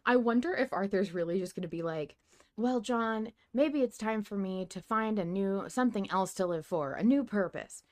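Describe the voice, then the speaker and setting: A woman's voice: medium pitch, normal speed, darkish tone. A woman hosting a podcast, chatting casually about fiction as a fan in a playfully dramatic way. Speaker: podcast host.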